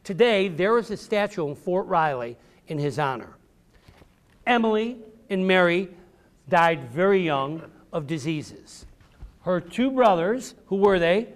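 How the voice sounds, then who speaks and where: A man's voice: high voice, expressive, measured pace. A man giving an educational talk to children as a visiting author, addressing them directly and repeating questions and key phrases. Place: public library room with a group of children.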